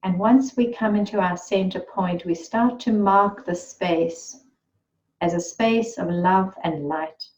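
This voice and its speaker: Slow, soothing woman guiding meditation. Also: mid-range voice, poor audio. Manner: slow, soothing and unhurried, with long pauses. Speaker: meditation guide. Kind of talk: guided meditation.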